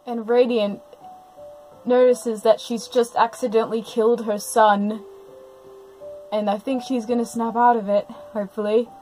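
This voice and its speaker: A woman's voice: fairly high pitch, flat delivery, a little slow, warm tone, poor audio. A woman giving live, unscripted reaction commentary, exclaiming and gushing as she narrates what is happening. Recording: music playing behind the commentary.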